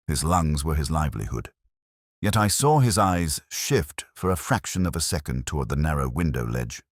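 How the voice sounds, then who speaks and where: A man playing a weary, razor-minded police inspector, narrating a detective audio drama in a measured, reflective, literary way. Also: very deep voice, dry recording, clean studio sound, full character voice.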